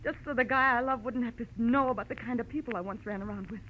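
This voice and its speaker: An actress playing an earnest, emotional young American wife with a defiant streak in an old-time radio drama. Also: female voice, fairly high pitch, fast, lightly acted. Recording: muffled, noisy old-time radio recording.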